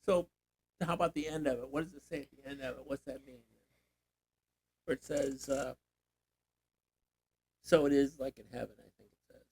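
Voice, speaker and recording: A man's voice: medium pitch, steady tone, normal speed, pauses often, darkish tone, a little hiss or hum, poor audio. An older man, a grandfather, leading a casual Bible reading, thinking aloud and prompting with questions. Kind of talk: casual teaching chat.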